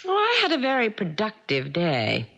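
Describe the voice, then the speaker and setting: An actress playing a cool, devious wife in a radio mystery, with a sly, sardonic and pointedly polite manner. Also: female voice, mid-range voice, huge pitch swings, normal speed, creaky, fairly bright tone, heavily acted. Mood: calculating, demanding. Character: cool, devious wife coolly scheming against her bigamist husband. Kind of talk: 1970s radio mystery acting. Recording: dull, lo-fi old radio broadcast.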